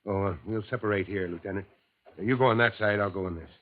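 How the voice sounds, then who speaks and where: An actor playing a calm, kindly, middle-aged fire chief in a 1950s radio drama. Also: male voice, lowish voice, quick pace, no room sound, close to an everyday voice. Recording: tinny old radio broadcast.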